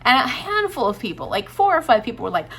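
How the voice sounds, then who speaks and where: A woman's voice: fairly high pitch, sing-song, fast, fairly bright tone, breathy edge. A young woman chatting casually in an upbeat, enthusiastic vlog, laughing often. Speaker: booktuber.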